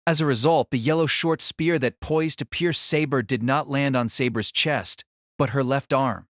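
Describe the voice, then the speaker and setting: A man narrating a fantasy audio drama in a steady, even storytelling manner. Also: mid-range voice, moderate pace, dry recording, clean studio sound.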